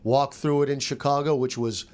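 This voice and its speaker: A man's voice: mid-range voice, steady tone, normal speed, darkish tone. A man who works as a sports radio announcer, chatting casually in a roundtable with emphatic, punchy remarks.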